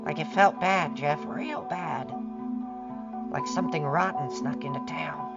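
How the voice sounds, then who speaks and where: A woman's voice: low-pitched, unhurried. A woman playing a guarded, uneasy suburban neighbor who speaks tersely and hesitantly in an audio drama. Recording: muffled sound under clear music. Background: music.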